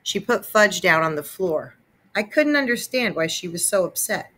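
A woman narrating a children's story aloud in an expressive read-aloud style. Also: lowish voice, normal speed.

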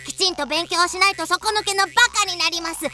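A woman's voice: very high voice, fast talker, pushed and bright, faint music behind, full character voice. A woman voicing a polite, lecturing talking cricket in a radio drama. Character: preachy old talking cricket.

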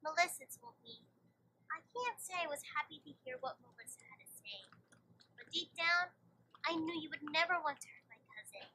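Woman as young pony princess, high, animated, candid, caring, fan cartoon, slight noise. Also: fairly quick, full character voice, low-quality recording. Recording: faint noise, played-back web video.

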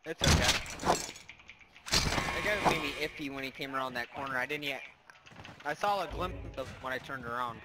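A man's voice: mid-range voice, normal speed, long pauses, heavy background noise. A man chatting casually with a teammate over voice chat during a shooter game, laid-back and swearing freely.

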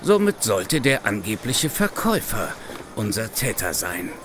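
Gruff, animated police chief inspector. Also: male voice, fairly low pitch, a little slow, noisy background. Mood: grim, certain. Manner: gruff, sarcastic, insistent. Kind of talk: German audio drama acting.

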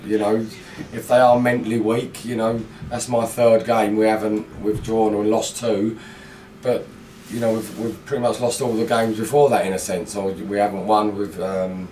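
A man's voice: on the low side, level tone, normal speed, a little mellow, recorded from a distance, slight background noise. A middle-aged man, a football manager, giving a blunt, frustrated post-match interview full of fillers in a small indoor room. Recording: echoey room, mic set back.

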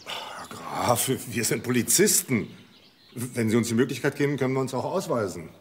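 A man's voice: mid-range voice, expressive, normal speed, faint music behind, close to an everyday voice. Man playing a blunt mid-voiced policeman. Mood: matter-of-fact. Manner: blunt, questioning. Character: direct, matter-of-fact male police detective. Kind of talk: German TV crime drama acting.

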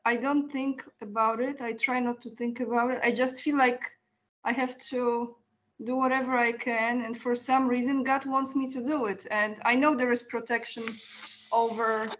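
A woman hosts an interview over a video call, her voice sitting a little high. She speaks earnestly, pausing and restarting softly as she gathers her words. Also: steady tone, low-quality recording. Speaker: host.

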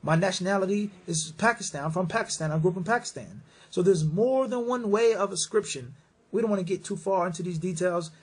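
Guy with a high raspy voice doing islamic q&a advice, stresses stuff by repeating it, drops arabic phrases in. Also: a little fast. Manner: mixes in Arabic religious phrases. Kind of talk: religious advice, question and answer talk.

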